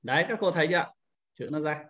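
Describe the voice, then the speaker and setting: High-pitched man giving a software tutorial. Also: lively intonation, quick pace, dull audio. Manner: step-by-step, repetitive explaining. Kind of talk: informal screen-recorded tutorial.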